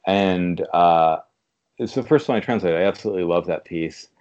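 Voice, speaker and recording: A man's voice: deep voice, normal speed, darkish tone. A man chatting casually as an interview guest, a literary translator, recorded on slightly dull lo-fi audio.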